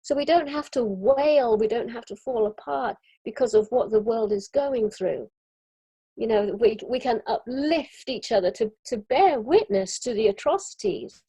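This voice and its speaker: A European woman in an earnest, expressive conversation about spirituality, often laughing as she talks, on a video call. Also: a little high, moderate pace, husky, dry recording, low-quality recording. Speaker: summit guest.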